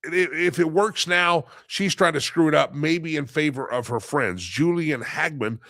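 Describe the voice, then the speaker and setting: A man's voice: on the high side, a little slow, bright, forceful tone, dry recording, clean studio sound. Stuffy-voiced male show host with a cold. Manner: rambling, many fillers. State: head cold, slightly nasal. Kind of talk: casual live show talk.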